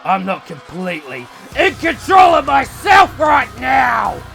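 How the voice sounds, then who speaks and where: A man playing a composed but menacing former champion fighter with a dark, unstable side, speaking formally in a visual novel. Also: extremely high pitch, gravelly, heavily acted. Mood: unhinged.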